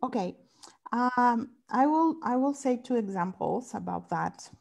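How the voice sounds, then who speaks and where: A woman's voice: on the high side, animated, measured pace, vocal fry, a little mellow, dull audio. A woman from Greece with a Greek accent, a family therapist answering interview questions thoughtfully and searching for words in English. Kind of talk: interview conversation.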